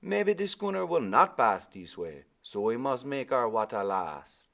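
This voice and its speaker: A man plays an old sailor from a storybook, pitched well above his narrating voice. He is stubborn and quietly firm, with slow, drawn-out folksy phrases. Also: big pitch swings, vocal fry, full character voice. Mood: firm, patient. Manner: slow, folksy dialect speech. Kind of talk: storybook character voice in a read-aloud.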